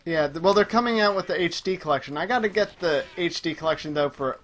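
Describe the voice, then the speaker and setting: Higher-voiced man chatting casually while gaming. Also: fast talker, a bit bright. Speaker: video game streamer playing live.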